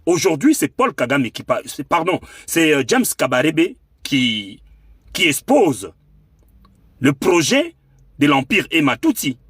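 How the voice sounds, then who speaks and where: A man's voice: fairly high pitch, sing-song, unhurried, husky, dry recording, clean studio sound. A man with a Congolese accent delivering an impassioned political monologue as a commentator, emphatic and rhetorical, repeating phrases for effect. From Democratic Republic of the Congo.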